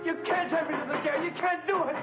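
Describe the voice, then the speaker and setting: Radio drama: a condemned man pleading in panic, very high voice, dull vintage recording over music. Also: fairly even intonation, fast talker. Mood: panicked.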